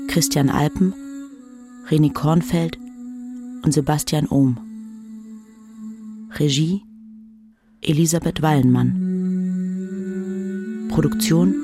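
A radio drama announcer reading scripted credits in a formal, measured manner. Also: mid-range voice. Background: prominent music.

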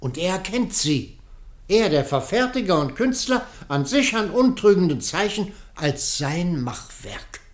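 A man playing a pompous public prosecutor in a radio play, pleading in court with declamatory speech and rhetorical pauses. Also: high voice, big pitch swings. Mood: accusing, insistent.

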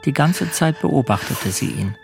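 An actor playing a gentle, ashamed middle-aged husband in a German radio drama, speaking with polite restraint. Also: male voice, on the high side, animated, unhurried, vocal fry. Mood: brooding. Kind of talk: German radio drama, introspective narration and dialogue. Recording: music behind the voice.

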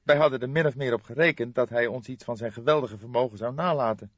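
A man reading an audiobook, voicing a distraught, hesitant younger man who pleads for help in a halting, emotional, trembling way. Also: mid-range voice, dry recording, dull audio, pitched above the performer's own voice, close to an everyday voice. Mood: anxious, pleading. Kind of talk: audiobook character voice.

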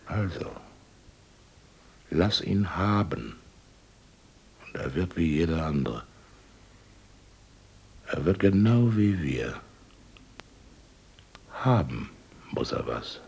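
An actor playing a rich older village miller, gruff and scheming, who rants and then broods in a radio drama. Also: male voice, very animated, slow, pauses often, a little mellow, heavily acted. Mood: brooding. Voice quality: gravelly, husky voice. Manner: blustering, then brooding and low.

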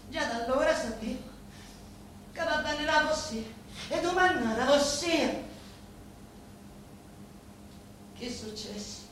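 A woman acting a grieving, vengeful daughter in a theatrical monologue, with a Sicilian accent and halting, incantatory delivery full of repeated words. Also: sits high. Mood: bitter, brooding. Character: grieving, vengeful daughter of murdered parents, confiding in a boss. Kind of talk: theatrical dramatic monologue in dialect-laced Italian. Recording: echoey, distant, faintly noisy stage recording.

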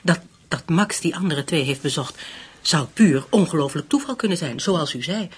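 An actress playing an anxious but determined German widow in a radio drama, with a breathy, husky voice. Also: female voice, low voice, expressive, normal speed, heavily acted. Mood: worried.

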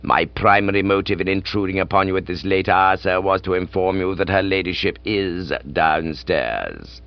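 A man voicing a deferential, unflappable English manservant in a comic audiobook, with formal, measured, understated speech. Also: deep voice, dull audio, pitched below the performer's own voice. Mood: grave, tactful.

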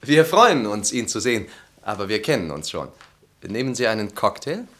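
An actor in a German radio play playing a courteous party host with a polite, formal manner. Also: male voice, lowish voice, big pitch swings, normal speed. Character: courteous male party host serving drinks.

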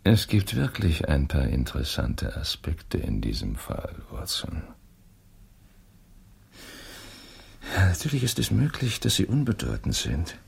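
Keen detective, radio drama, deliberate, probing, musing, very varied intonation, gravelly edge. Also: male voice, a bass voice, wildly swooping pitch, a little mellow, full character voice. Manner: deliberate, musing, deductive, questioning. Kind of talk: German radio drama acting.

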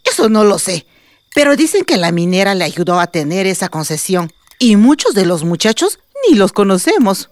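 A woman's voice: medium pitch, very animated, normal speed, a little mellow, dry recording, lightly acted. A woman playing a worried, gossipy old village grandmother with a Mexican accent in a radio soap opera. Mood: gossipy, encouraging. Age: 60s or older.

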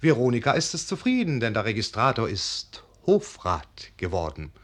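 A man's voice: medium pitch, wildly swooping pitch, moderate pace. A man narrating a radio play in a lyrical, rapturous way. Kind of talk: scripted narration in radio drama.

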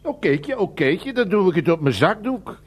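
An actor playing a fussy, agitated window-cleaner in a radio play. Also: male voice, sits high, big pitch swings, a little fast, slightly rough, dull audio, full character voice. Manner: agitated, insistent, theatrical.

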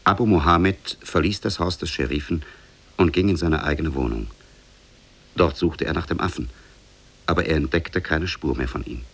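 A man narrating a tale in 1950s radio style, measured, literary and solemn. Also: extremely low pitch, fairly bright tone, breathy edge. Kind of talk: scripted storybook narration, 1950s German radio.

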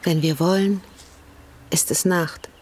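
An actress playing a young writer's wife in a radio drama, earnest, searching and questioning. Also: female voice, on the low side, fairly even intonation, takes it slowly, darkish tone, slight background noise.

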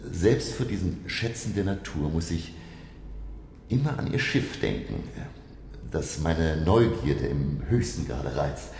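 An actor playing a learned, curious professor who narrates his undersea adventure in a radio play, gravelly and measured. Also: big pitch swings, darkish tone, full character voice. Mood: curious, probing. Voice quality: very deep, gravelly. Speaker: narrator and character.

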